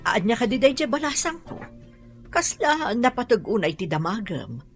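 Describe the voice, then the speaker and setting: Motherly older woman, Filipino accent, radio drama, raspy, fairly high voice. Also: big pitch swings, normal speed, soft background music, full character voice. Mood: worried.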